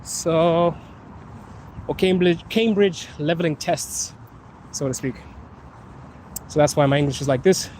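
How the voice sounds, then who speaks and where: A young man from Zimbabwe hosting a relaxed live Q&A while walking outdoors. He has his sinuses bothered by pollen, laughs often and drags out some words. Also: on the high side, unhurried, pauses often, slight background noise. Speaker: host. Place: outdoors on a city street.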